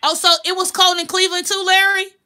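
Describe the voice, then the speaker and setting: A woman's voice: very high-pitched, little pitch movement, fast talker, a crowd in the background. A woman co-hosting a casual phone chat, teasing and laughing along.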